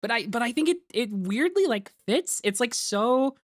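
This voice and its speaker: A woman giving casual group commentary as host, talking in a halting, rambling way and swearing freely. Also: fairly high pitch, animated, dry recording, clean studio sound. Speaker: host and director of a fan dub, giving cast commentary. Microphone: close mic on an online voice call.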